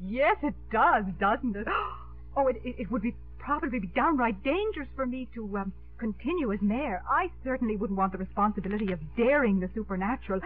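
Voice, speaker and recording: A woman's voice: fairly high pitch, expressive, fairly quick, faint music behind, natural voice. An actress playing a genteel, emotional small-town woman mayor in a 1950s radio drama. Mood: flustered, delighted. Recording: muffled, narrow-band 1950s radio broadcast.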